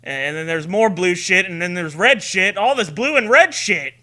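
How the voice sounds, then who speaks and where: A man's voice: sits high, animated, normal speed, pushed and bright. A man co-hosting a comedic read-along, sarcastic and foul-mouthed, switching between dramatic reading and mocking commentary.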